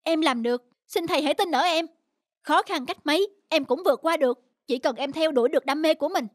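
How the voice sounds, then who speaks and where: Cartoon student lad played by a woman: high, pleading, stubbornly sincere. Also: fast, dry recording, studio quality, lightly acted. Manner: pleading, earnest. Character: earnest, stubborn young male student chasing his passion. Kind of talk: animated cartoon dubbing.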